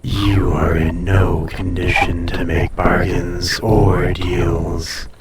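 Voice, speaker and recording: A man voicing an ancient, haughty, possessive dragon in an intimate audio roleplay, speaking formally and deliberately with a breathy, softly menacing, seductive delivery. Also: low voice, flat delivery, echoey room, distant mic, a loud crowd. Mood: protective, commanding.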